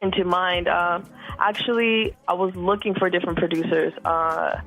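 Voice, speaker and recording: A woman in her thirties, from Costa Rica, talking casually as a music guest, relaxed, with many fillers and repeated words. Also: lowish voice, normal speed, low-quality recording. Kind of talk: casual interview chat.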